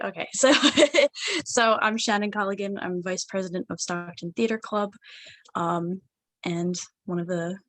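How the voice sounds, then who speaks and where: A woman's voice: mid-range voice, dry recording. A young woman, a student theater club officer, hosting a video-call panel with lots of hesitant ums.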